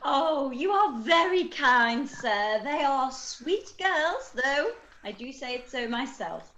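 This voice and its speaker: High, echoey, fluttery matchmaking mother acted by woman. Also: animated, a bit bright, dull audio, heavily acted. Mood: gushing. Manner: gushing, drawn-out, coy. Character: fluttery middle-aged matchmaking mother, eager to please. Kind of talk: period radio play acting.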